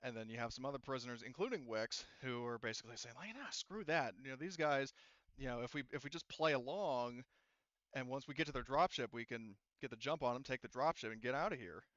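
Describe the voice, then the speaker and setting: A man narrating a tabletop roleplaying game as game master in a casual, halting, conversational way. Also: mid-range voice, fairly bright tone, dry recording, highs cut off. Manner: halting, conversational explaining with quoted character lines.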